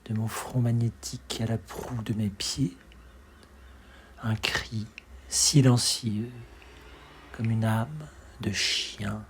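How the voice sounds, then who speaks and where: A man with a breathy, husky voice reciting poetry expressively, in measured dramatic phrases that often sink to a hush. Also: lowish voice, steady tone, background noise. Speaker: poetry reader.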